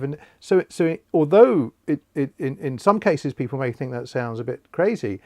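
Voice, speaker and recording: Bloke interviewing someone online, slightly high voice, dry close sound, explains things with lots of restarts. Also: male voice, a little mellow, dry recording. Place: remote internet call.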